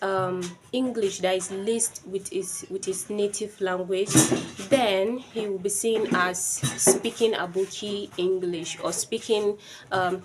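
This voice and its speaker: A woman's voice: medium pitch, a little hiss or hum. Nigerian woman speaking earnestly. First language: Yoruba. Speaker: vlogger. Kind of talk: personal video talk to camera.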